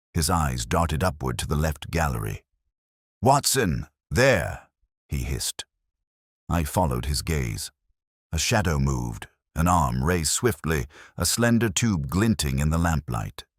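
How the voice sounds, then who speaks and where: Very deep, husky male storyteller. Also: no room sound, studio quality. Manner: solemn, theatrical, deliberate phrasing. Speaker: audiobook narrator. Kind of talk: dramatic scripted narration of a period mystery.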